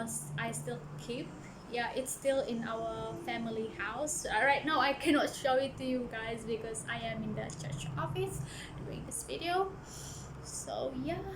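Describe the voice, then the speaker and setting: A woman's voice: a little high, background noise. Earnest Malaysian woman telling her story. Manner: earnest, hesitant with frequent restarts. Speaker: book vlogger. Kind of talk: casual personal storytelling. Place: church office.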